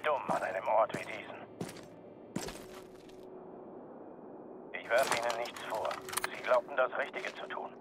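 An actor playing a calm, commanding renegade colonel in a video game, speaking formally and ominously. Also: male voice, mid-range voice, brisk, background noise, close to an everyday voice. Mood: grave, cold. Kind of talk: dramatic video game acting.

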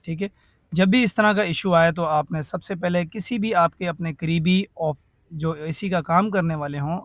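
A man's voice: on the high side, no echo. A man, a car AC mechanic, walks through a repair step by step and keeps saying "theek hai" and "ye dekhiye". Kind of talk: workshop tutorial.

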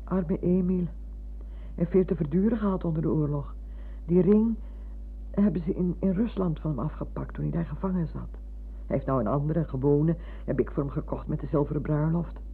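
An older actress playing a chatty, fussy, sentimental retired schoolmistress who rambles and interrupts herself in a radio play. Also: female voice, low voice, normal speed, husky, full character voice. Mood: reminiscing. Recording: muffled phone-like old radio recording.

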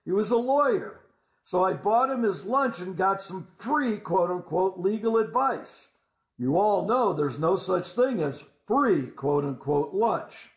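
High-pitched man narrating in a roomy space. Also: animated, a little slow. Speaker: storyteller. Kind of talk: scripted first-person story narration. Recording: roomy, echoing voice-over.

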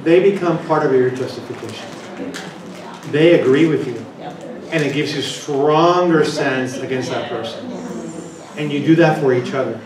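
A man's voice: medium pitch, normal speed, crowd noise. A man leading a seminar workshop, talking with the audience in a chatty, joking way. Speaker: workshop presenter. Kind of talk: interactive seminar talk. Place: hotel conference room. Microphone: handheld mic, far camera. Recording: echoey room, distant camera.

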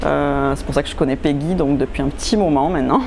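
Lower-voiced woman in her forties. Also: fast, creaky, slight background noise. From England, West Yorkshire. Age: 40s. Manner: lively, hesitant, with many fillers. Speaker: fashion designer and knitter. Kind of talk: casual interview answers. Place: glass greenhouse, indoors. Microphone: lapel mic.

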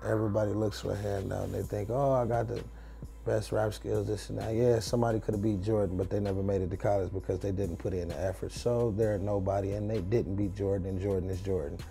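A man in his thirties giving matter-of-fact, measured advice in a documentary interview. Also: on the low side, flat delivery, vocal fry, warm tone. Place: small music studio. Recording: faint music behind the voice.

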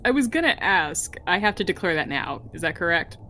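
Woman, game player, lowish pitch, bright tone, casual, faint noise. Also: expressive, a little fast. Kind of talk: casual chat.

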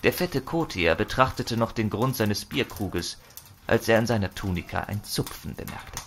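A man's voice: on the low side, lively intonation, normal speed, creaky, fairly bright tone, a little hiss or hum. A man narrating a fantasy audio drama in a theatrical, conversational storytelling style with musing asides.

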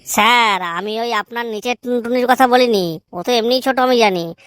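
A woman's voice: on the high side, normal speed, fairly bright tone, no room sound, clean studio sound, lightly acted. A woman voicing a cheeky, crude village schoolboy in a comedy cartoon. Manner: cheeky, blunt excuse-making.

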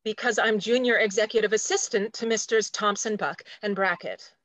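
A woman's voice: medium pitch, normal speed, a bit bright, no room sound, close to an everyday voice. Woman acting a prim, rigid office assistant. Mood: curt, officious. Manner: precise, clipped, officious, matter-of-fact. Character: prim, rigid, territorial female junior executive assistant. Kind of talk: stage play reading. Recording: dry video call.

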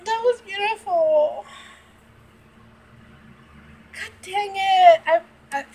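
A woman's voice: very high-pitched, drawn-out words, a little mellow. A woman in her thirties giving a casual, spontaneous reaction to a film at home, in an emotional, exclaiming manner. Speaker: reaction video host. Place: small room at home, indoors. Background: film soundtrack music.

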